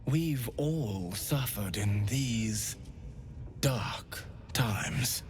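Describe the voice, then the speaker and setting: An actor playing a serene, fervently devout priest of a pain goddess in a video game, with theatrical, courtly tenderness and a breathy voice that slips into whispers. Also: male voice, medium pitch, very slow, a little hiss or hum. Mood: soothing, intimate. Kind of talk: video game character acting.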